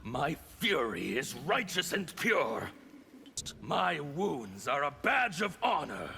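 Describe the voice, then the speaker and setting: Gravelly, fiercely animated chaos villain. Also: male voice, on the high side, takes it slowly, soft background music, heavily acted. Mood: fervent defiance. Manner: grandiose, defiant declarations. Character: scarred, fanatical male revolutionary agent of chaos. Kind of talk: theatrical fighting-game villain acting.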